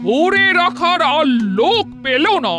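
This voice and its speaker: A fierce, indignant comic madam in a cartoon. Her very high voice swoops as she declaims scornfully, with loud music behind her. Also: big pitch swings, slow pace, heavily acted.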